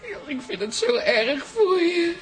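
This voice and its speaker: An actress playing an emotional, simple, soft-hearted woman in a Dutch radio play, plaintive and effusive. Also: sits high, big pitch swings, a little slow, over loud music. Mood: tearful.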